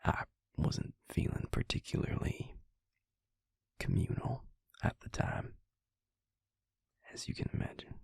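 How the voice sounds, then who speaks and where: A man playing a gentle, self-deprecating, protective vampire in an intimate audio roleplay, speaking in a gravelly, breathy voice with a halting, softly teasing delivery. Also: no room sound, studio quality, natural voice. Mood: soft, wry.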